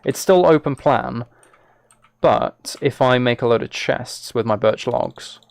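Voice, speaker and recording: A man's voice: medium pitch, unhurried, creaky, no room sound. A man chatting casually on a live game stream, thinking aloud as he plays and talking to his viewers.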